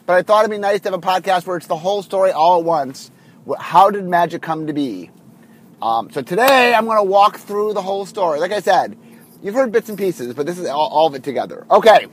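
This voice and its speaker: Man with a cold-husky voice. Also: sits high, expressive, brisk. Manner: rambling, full of ums and restarts. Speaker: podcast host. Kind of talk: casual solo podcast storytelling. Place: car, recording on the drive to work.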